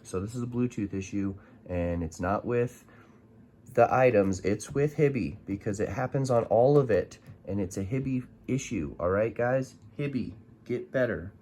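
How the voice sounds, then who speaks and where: A man's voice: lowish voice, normal speed, darkish tone, slight background noise. A man giving a casual, unscripted gadget review, informal and hesitant as he thinks aloud. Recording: music in the background.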